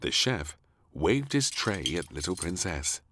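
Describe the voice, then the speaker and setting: A man reading a children's story aloud as narrator, in an expressive, unhurried way. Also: sits low, a little hiss or hum.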